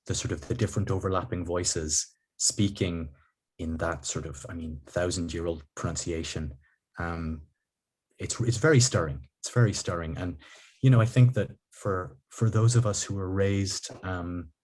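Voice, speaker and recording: Man from ireland, very low voice, hesitant and reflective, lots of ums, interviewer on a video call from home. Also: normal speed, darkish tone. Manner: hesitant, thoughtful, many ums and restarts. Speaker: podcast host. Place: living room at home.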